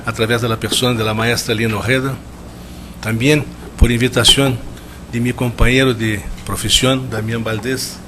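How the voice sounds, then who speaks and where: A man's voice: mid-range voice, noisy background. Brazilian-accented man giving a thoughtful lecture. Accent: Brazilian Portuguese. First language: Portuguese. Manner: measured, reflective, with frequent restarts and searching for words. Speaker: guest lecturer. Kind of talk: conference talk. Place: lecture hall.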